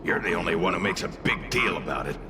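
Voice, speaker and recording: A man's voice: deep voice, expressive, fast, noisy background. A man voicing a brash, cantankerous tail spirit in a video game, scornful and blustering. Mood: dismissive. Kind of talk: video game character acting.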